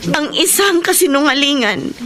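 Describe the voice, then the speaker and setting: A woman's voice: sits high, lively intonation, normal speed. A woman acting a remorseful young niece making a formal, emotional public confession in a Filipino radio drama. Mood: ashamed, earnest.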